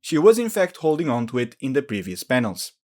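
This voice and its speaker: A man's voice: medium pitch, big pitch swings, a little fast, darkish tone, a little hiss or hum. A man giving scripted explainer narration as a video host, in a matter-of-fact, explanatory way.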